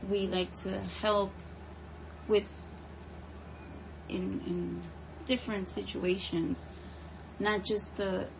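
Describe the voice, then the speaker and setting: A woman from the United States answering interview questions conversationally, in a hesitant, halting way as she thinks aloud. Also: mid-range voice, level tone, creaky, background noise. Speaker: interviewee, home care nurse.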